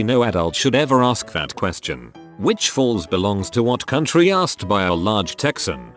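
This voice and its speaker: A man reading out online stories as scripted narration, in a lively, expressive storytelling manner, with faint music underneath. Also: on the low side.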